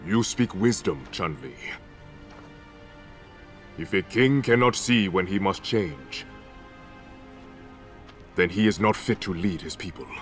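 A man's voice: deep voice, animated, slow pace, long pauses, faint music behind. A man playing a proud, solemn king in a video game, speaking formally and with stately gravity. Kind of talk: video game cutscene acting.

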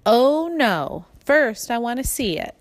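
A woman's voice: on the high side, very animated, takes it slowly, no echo, voice pushed up. A woman voicing an eager, impatient young schoolboy from a storybook, pleading and emphatic. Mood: insistent.

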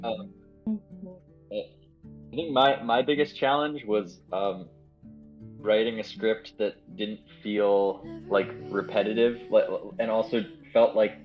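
Low, muffled man on a call. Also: takes it slowly. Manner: hesitant, frequent "like". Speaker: game writer being interviewed. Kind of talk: casual developer Q&A. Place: online voice call. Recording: dull lo-fi voice call. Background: faint plucked guitar music.

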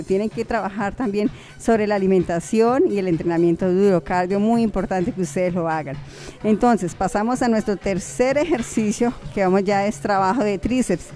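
A woman's voice: mid-range voice, darkish tone. A young adult woman giving workout instructions as a fitness vlogger, chatty and encouraging, with lots of affectionate diminutives. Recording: background music under the voice. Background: music.

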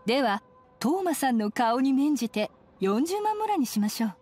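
A woman's voice: sits high, sing-song, normal speed, darkish tone, soft background music. A woman voicing a polite, composed young clerk in a Japanese video game, speaking formally and courteously. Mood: courteous, businesslike. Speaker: inspection clerk.

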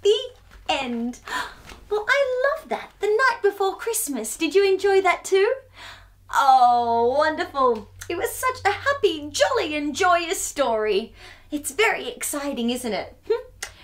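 A woman's voice: sits high, very animated, unhurried. A woman in her thirties hosting a children's storytime, reading aloud and chatting expressively in a sing-song way to young children. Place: small furnished living room, indoors.

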